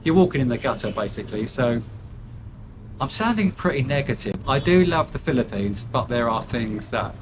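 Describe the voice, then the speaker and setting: Older british guy rambling on in a chat, lots of ums and restarts, mid-pitched voice, noisy ambience behind him. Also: lively intonation, moderate pace. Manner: rambling, candid, self-deprecating, with frequent fillers and restarts. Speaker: interview guest. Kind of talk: casual chat.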